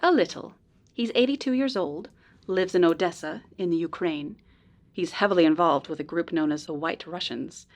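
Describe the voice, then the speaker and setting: A woman playing a polite, earnest informant in a noir radio drama, speaking in a measured, precise way. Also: fairly low pitch, natural voice. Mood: grave, informative. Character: polite, earnest female informant helping a detective.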